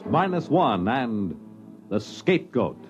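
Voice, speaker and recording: A man announcing a 1950s radio drama series in a portentous, theatrical, deliberate style. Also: medium pitch, big pitch swings. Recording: dull old radio recording, loud music.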